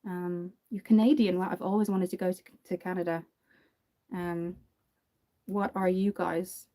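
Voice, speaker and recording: Young Irish woman, Dublin accent, chatty livestream makeup host, low mellow voice. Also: flat delivery, measured pace. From Ireland. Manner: chatty, reads and answers viewer comments. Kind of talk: casual livestream makeup tutorial.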